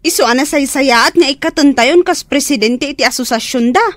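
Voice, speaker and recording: A woman's voice: sits high, a little fast, a bit bright, dry recording. A woman playing an indignant young single neighbour with an Ilocano accent in a comedy radio drama.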